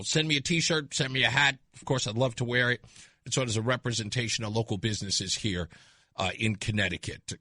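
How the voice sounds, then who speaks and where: An American man hosting a call-in radio show, talking off the cuff in an animated, conversational way on a close studio mic. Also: mid-range voice, dry recording. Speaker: radio talk show host. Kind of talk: unscripted call-in talk radio chat. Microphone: close studio microphone.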